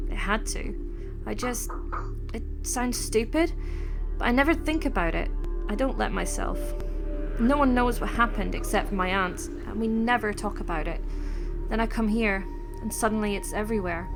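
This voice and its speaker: A woman's voice: mid-range voice, normal speed, music in the background, lightly acted. A woman playing an uneasy, guarded young woman in a dramatic audiobook reading, with a hesitant, self-conscious manner. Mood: apologetic, vulnerable.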